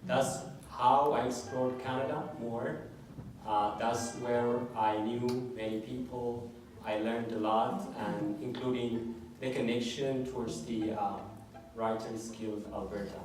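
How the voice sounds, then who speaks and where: Slow Ethiopian man in an echoey room. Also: mid-range voice, fairly even intonation, a little mellow, a little hiss or hum. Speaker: memoir author, guest speaker. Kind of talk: informal introduction to a public reading. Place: room at a public event. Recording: echoey room, distant mic, music.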